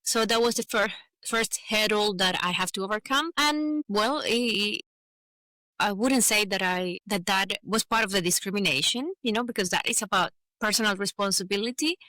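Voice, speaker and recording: Venezuelan lady on a podcast, bright forward voice, dry close sound, explains stuff earnestly, says you know a lot. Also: mid-range voice, normal speed, no room sound. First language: Spanish. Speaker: podcast guest, advocate for migrant professionals. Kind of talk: conversational interview.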